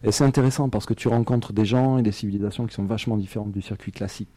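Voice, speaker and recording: A man's voice: fairly low pitch, steady tone, rapid-fire, warm tone, dry recording. A French-raised man, a singer and musician, answering interview questions in a loose, hesitant way with many fillers and small laughs. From France. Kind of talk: casual radio interview.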